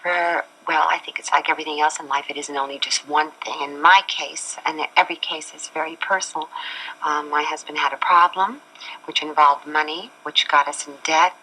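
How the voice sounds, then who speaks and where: A woman's voice: low voice, steady tone, normal speed, sharp tone, a little hiss or hum, low-quality recording. A woman in her forties from Chile, a talk show guest speaking earnestly and at length about her own life, recorded on an old TV studio set. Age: 40s. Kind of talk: candid personal talk.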